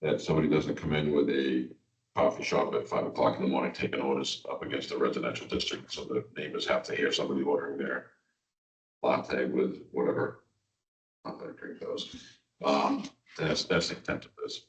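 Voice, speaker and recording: A man with an extremely deep voice chairs the meeting in a relaxed tone and drops in small asides. He is heard from a distance in an echoey room. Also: fairly quick.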